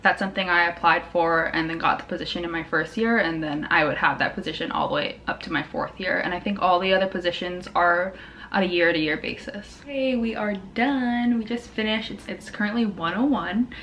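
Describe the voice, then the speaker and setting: A woman's voice: fairly low pitch, brisk, fairly bright tone, slight background noise. A woman in her twenties from Hawaii, a dental student chatting casually in her vlog with lots of fillers. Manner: chatty, upbeat, many fillers like "um" and "like". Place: home, indoors.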